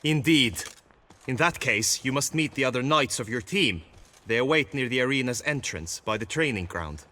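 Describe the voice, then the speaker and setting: An actor playing an earnest, anxious, gallant knight in a video game, with formal and florid courtly speech. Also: male voice, medium pitch, expressive, moderate pace, sharp tone. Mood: composed, courteous. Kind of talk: dramatic video game acting.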